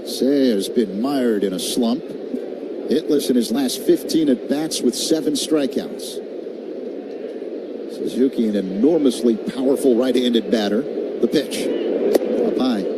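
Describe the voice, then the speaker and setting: A man's voice: mid-range voice, slow pace, pauses often. An American man calling live baseball play-by-play on the radio. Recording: clearly audible stadium ambience noise. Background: ballpark ambience.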